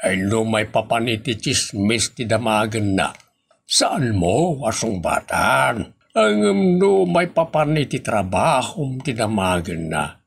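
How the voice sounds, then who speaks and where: An actor plays a bossy male employer in a comedy audio drama. His voice is creaky and fairly high, swinging widely in pitch. He scolds emphatically in an Ilocano accent, drawing his words out slowly. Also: big pitch swings, heavily acted. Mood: scolding. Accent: Ilocano, northern Philippines. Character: bossy, scolding male boss of idle workers. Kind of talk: comedy radio drama dialogue.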